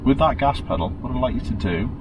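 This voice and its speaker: A middle-aged man, calmly coaching a learner through the pedals inside a car, often checking she understands. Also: mid-range voice, quick pace. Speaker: driving instructor. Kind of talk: patient step-by-step instruction. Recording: noisy car interior.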